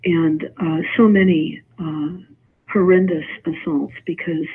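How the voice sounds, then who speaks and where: A man speaking as a guest in a video call roundtable, halting and filling pauses often. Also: on the high side, vocal fry, breathy edge. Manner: halting, lots of uh and um. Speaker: panel guest. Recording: tinny phone-like call line.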